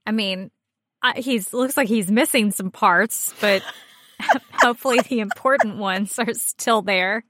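A woman's voice: medium pitch, a little slow, fairly bright tone, no room sound. A woman co-hosting a podcast with casual, comedic banter in a playful, jokey manner. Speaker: podcast co-host.

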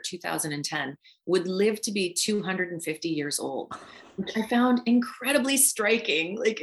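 Lower-pitched middle-aged woman chatting. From Canada. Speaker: guest voice actor and writer. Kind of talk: casual, reflective interview chat.

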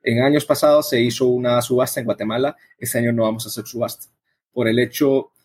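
Casting: male interview guest, husky mid-range voice, explanatory and conversational delivery. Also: medium pitch, fairly even intonation, quick pace, darkish tone. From El Salvador. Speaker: interview guest, coffee event organizer.

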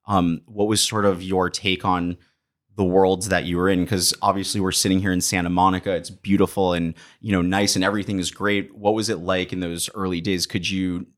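A man's voice: sits low, level tone, fairly quick. A man hosting a podcast interview, asking curious, rambling questions full of fillers. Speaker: podcast host.

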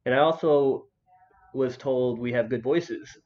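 A man's voice: medium pitch, a little slow, a little mellow. A young man hosts a casual podcast, joking and restarting his sentences as he goes. His voice sits in a middle register. The recording is dull and lo-fi. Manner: laid-back, joking, with many restarts. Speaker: podcast host. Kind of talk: casual chat.

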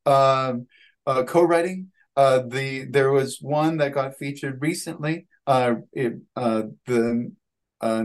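A man in his thirties answering interview questions on a video call, with halting phrasing and repeated words. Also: medium pitch. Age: 30s. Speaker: guest, playwright and actor.

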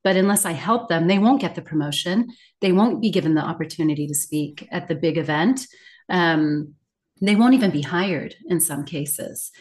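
Middle-aged female interview guest, somewhat low-pitched, articulate, reflective and heartfelt in emphasis. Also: moderate pace, a little mellow. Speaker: guest, communication coach and author.

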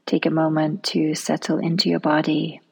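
A woman leading a guided relaxation meditation in a soft, soothing manner, often trailing off into a near-whisper. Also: sits low, monotone, moderate pace, creaky, breathy edge.

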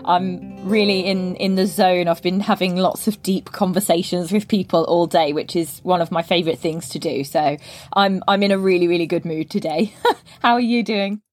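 A woman's voice: lowish voice, steady tone, moderate pace, darkish tone. A woman hosting a podcast as an English teacher. She reads a scripted intro and then chats casually and enthusiastically with her guest, laughing often. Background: guitar music under the intro.

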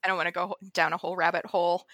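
A woman co-hosting a podcast in casual chat, bubbly and excitable and quick to laugh. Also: on the low side, dry recording, clean studio sound. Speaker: podcast co-host.

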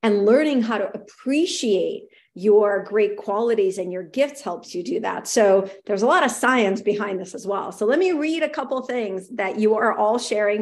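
Middle-aged woman host, a touch raspy, warm encouragement delivered upbeat, mid-range voice. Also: mid-range voice. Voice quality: slightly raspy. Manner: upbeat, encouraging, reads chat aloud. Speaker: host of a live show. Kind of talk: live-streamed talk to viewers.

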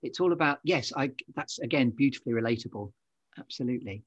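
A man's voice: mid-range voice, animated, fast, breathy edge, no room sound, dull audio. A middle-aged man, hosting a podcast interview in an effusive, affirming conversational manner. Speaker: podcast host.

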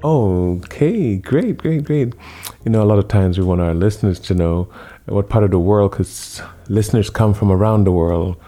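A man hosting a talk show interview, speaking slowly and emphatically and repeating his phrases for effect. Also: on the low side, mellow. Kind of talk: radio-style interview.

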